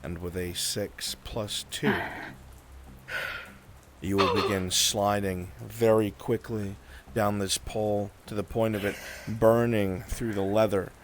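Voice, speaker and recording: A man acting as game master, narrating a live roleplay game in a measured, descriptive way. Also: on the low side, steady tone, a little hiss or hum.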